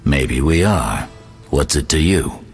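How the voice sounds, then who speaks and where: An actor playing a stern, guarded captain of city guards in a video game, curt and authoritative. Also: male voice, very deep voice, lively intonation, takes it slowly, soft background music, full character voice. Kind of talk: video game character acting.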